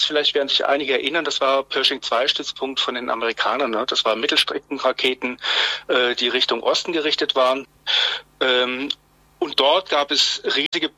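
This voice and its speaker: Guy in his 50s from swabia, very bright sharp voice, giving interview answers, keeps repeating words, really dry sound. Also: mid-range voice, no echo, low-quality recording. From Swabia, Germany. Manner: halting, repeats words while searching for phrasing. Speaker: interview guest, journalist.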